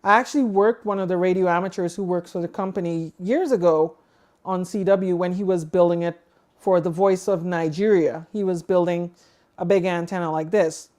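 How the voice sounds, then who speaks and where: A woman's voice: fairly low pitch, steady tone, normal speed, a little mellow. A woman co-hosting a livestream, giving casual news commentary in a conversational, explanatory way, thinking aloud as she goes. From outside the United States. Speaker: co-host of a ham radio livestream.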